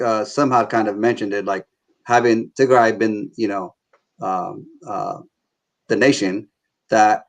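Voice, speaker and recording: A man moderating an online panel discussion on a video call, speaking earnestly with lots of pauses and fillers. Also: medium pitch. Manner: halting, filler-heavy, earnest.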